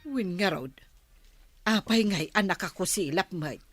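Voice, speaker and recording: An actress playing a vain, bossy middle-aged village wife in an audio drama, scolding in drawn-out phrases with an Ilocano Filipino accent. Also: female voice, on the low side, expressive, dry recording, soft background music. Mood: defensive.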